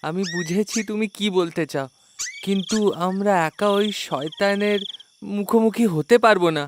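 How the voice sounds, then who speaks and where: Man voicing anxious village husband, cartoon, very high male pitch, pleading, earnest, noisy background. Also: normal speed, lightly acted. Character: remorseful, anxious village husband seeking his kidnapped wife. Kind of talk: cartoon character acting.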